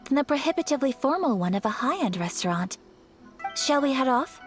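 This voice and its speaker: A woman playing a polite, composed young noblewoman in an anime video game, speaking in a gentle, formal, courteous way. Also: high voice, big pitch swings, a little fast. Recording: game music behind.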